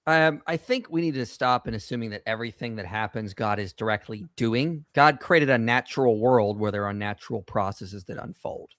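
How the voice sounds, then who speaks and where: A man's voice: mid-range voice, normal speed, hardly any pauses, fairly bright tone, no room sound, studio quality. A man hosting a call-in show, explaining and arguing his points in a confident, emphatic, lecturing way. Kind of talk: apologetics call-in discussion.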